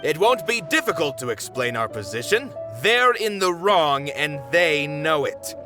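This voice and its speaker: Firm, animated theater manager. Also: male voice, on the high side, unhurried, over music. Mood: firm, resolute. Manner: firm, formal, dutiful. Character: principled older male theater manager, firm, protective. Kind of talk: video game voice acting.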